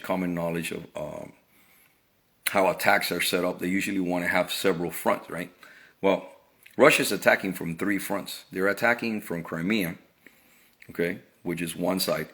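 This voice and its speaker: Man, middle-aged, very low voice, earnest, casual monologue, repeats himself. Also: a bit bright. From Cuba. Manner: earnest, repeats words and restarts phrases. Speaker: vlogger.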